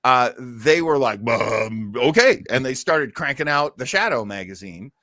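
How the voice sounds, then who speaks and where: A man's voice: medium pitch, animated, a little slow, sharp tone, no echo. Male podcast host, enthusiastic rambling storyteller, casual delivery, dry close recording. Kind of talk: casual chat about old time radio.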